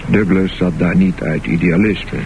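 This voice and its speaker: A man narrating a scripted radio drama in measured, deliberate short phrases. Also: low-pitched, steady tone, creaky. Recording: noisy, dull lo-fi radio recording.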